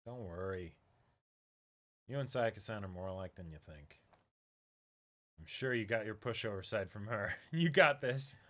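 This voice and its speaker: A man's voice: on the low side, animated, moderate pace, long pauses, a bit bright. Man reading easygoing, encouraging young guy. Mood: teasing.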